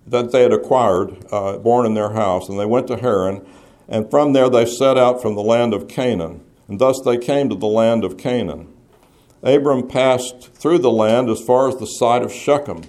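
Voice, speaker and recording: A pastor reads a Bible passage aloud, then explains it verse by verse. His voice is mellow and warm, and his pitch sits in a normal range for a man. He sounds measured and teacherly, working through questions aloud with his congregation. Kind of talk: expository Bible teaching, reading scripture and explaining it.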